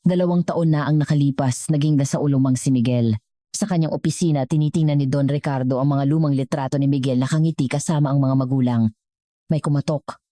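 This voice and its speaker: A woman's voice: sits low, steady tone, brisk, dark tone, dry recording, studio quality. A woman with a Filipino accent reading a scripted, dramatic story as a storyteller.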